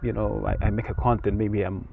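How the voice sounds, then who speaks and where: A man's voice: on the low side, flat delivery, brisk, creaky, narrow, tinny audio, slight background noise. A Kenyan man who speaks English with an American-sounding accent, talking earnestly in an interview and often repeating words. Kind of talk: casual interview. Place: outdoors in rural Kenya.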